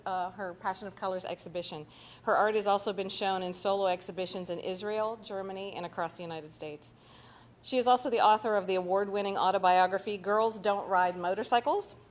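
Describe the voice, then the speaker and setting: A woman's voice: medium pitch, slight background noise. A woman hosting an arts center event, reading a speaker's introduction from notes and paraphrasing with hesitations. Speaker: host. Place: arts center event, live audience and stream.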